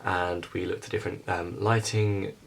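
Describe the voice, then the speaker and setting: A man's voice: low voice, flat delivery, normal speed, vocal fry. A young man giving an informal explanatory narration about how something creative was made. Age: young adult. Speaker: student puppet-show maker narrating a making-of.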